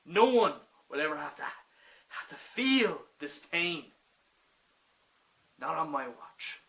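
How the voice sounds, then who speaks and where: A young man performs a war-scarred doctor in an intense stage monologue. His voice is high-pitched and forceful. He pleads with anguish and forgiveness, moving from quiet to loud. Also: big pitch swings, slow pace, exaggerated voice.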